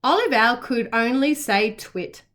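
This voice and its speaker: A woman reads a children's picture book aloud. Her voice is high and very animated, and she phrases the story carefully and expressively for young listeners. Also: a little slow.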